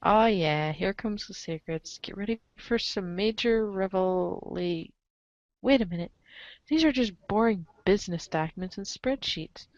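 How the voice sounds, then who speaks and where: A woman's voice: mid-range voice, unhurried, dry recording. Female narrator for a comic read-aloud, wry and theatrical, mid-range voice, slightly muffled dry recording. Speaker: narrator reading a webcomic aloud. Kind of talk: dramatic scripted narration with casual asides.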